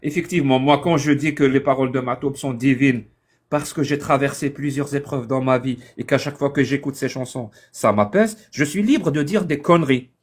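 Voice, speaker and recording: A man's voice: medium pitch, fairly quick, slightly rough. A man on a live online debate, pressing his point with insistent, repeated questions.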